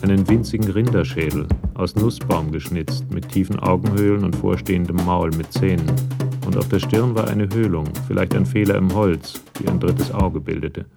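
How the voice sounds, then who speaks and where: A man narrating a radio crime drama from a script in a measured, matter-of-fact way. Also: low-pitched, gravelly. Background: music with drums.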